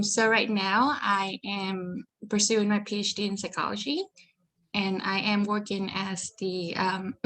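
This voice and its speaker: A woman's voice: mid-range voice, low-quality recording. A woman from Vietnam with a Vietnamese accent, a PhD student giving a friendly online presentation over a video call.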